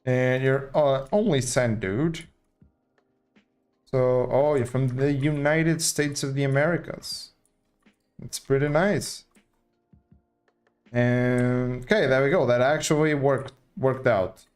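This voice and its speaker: A man's voice: mid-range voice, fairly even intonation, slow, pauses often, a little mellow, clean tone, faint music behind. A young man from Portugal, casually thinking aloud as he live-streams a building game. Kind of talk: live gameplay commentary.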